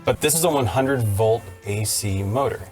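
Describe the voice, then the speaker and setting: A man's voice: medium pitch, normal speed, darkish tone, faint music behind. A man casually presenting and explaining vintage machines in an easygoing conversation.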